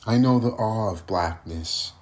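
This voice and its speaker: A man reading his own poem solemnly, in measured and deliberate phrases. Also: on the low side.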